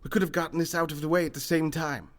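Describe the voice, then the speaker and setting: An actor playing a pompous, wealthy newspaper boss with a grandiose, theatrical manner in a comedic radio play. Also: male voice, a little high, steady tone, quick pace, close to an everyday voice. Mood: grandly hospitable.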